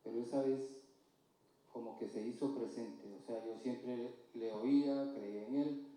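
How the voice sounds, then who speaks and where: Man, ecuadorian accent, dark mellow voice, far from the mic, echoey church hall. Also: medium pitch, fairly even intonation. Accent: Ecuadorian Spanish. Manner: slow, halting, earnest. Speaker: church member giving testimony. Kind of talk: personal testimony in church.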